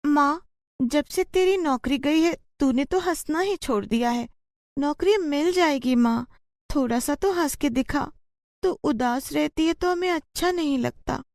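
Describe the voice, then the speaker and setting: A woman voicing a sweet young daughter in a story, gentle and consoling. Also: high voice, a little slow, dry recording, studio quality, pitched above the performer's own voice. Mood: coaxing. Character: sweet, caring young daughter of a poor mother.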